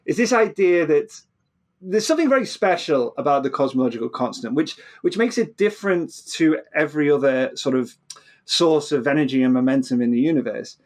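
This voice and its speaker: Man, higher-pitched, explaining with stammered restarts. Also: animated, fairly quick. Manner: stammering repeated starts. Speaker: physicist guest. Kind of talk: animated explanatory interview talk.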